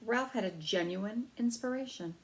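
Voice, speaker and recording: A woman's voice: mid-range voice, moderate pace, rough recording. A woman storyteller reading a children's novel aloud.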